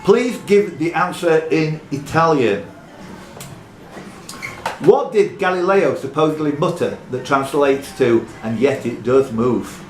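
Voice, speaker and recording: A man's voice: on the high side, animated, takes it slowly, faint music behind. A man hosting a live pub quiz, reading out questions and trading jokey, teasing banter with the contestants. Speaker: quiz host and question reader. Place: echoey pub room. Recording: echoey room with audience noise. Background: crowd of quiz players.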